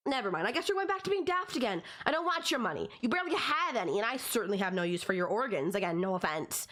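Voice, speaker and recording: A woman's voice: a little high, a bit bright. A woman playing a possessive, teasing young stalker in an audio roleplay, talking straight to the listener in a conversational, condescending yet affectionate way. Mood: coaxing, condescending.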